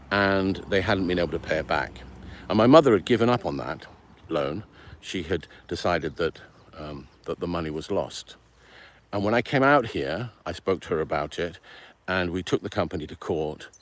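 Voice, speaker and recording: A man's voice: low-pitched, no room sound. A middle-aged man from Venezuela telling his life story in a rambling, casual way with many ums and uhs. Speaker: channel host telling his background.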